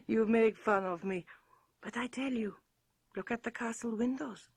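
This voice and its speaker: Slow, husky ageing French governess. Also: female voice, fairly high pitch, expressive, a little mellow, heavily acted. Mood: ominous, hushed. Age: older. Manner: fretful, portentous, slipping into whispers. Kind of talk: radio horror drama acting.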